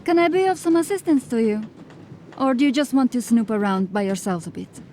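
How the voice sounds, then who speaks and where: A sly, breezy female smuggler captain, played by a woman, talking her way out of trouble in a mellow, warm voice a little higher than most. Faint ambient noise sits behind her, as in an audio drama. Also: a little fast, lightly acted. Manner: breezy, evasive, gently mocking. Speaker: ship captain.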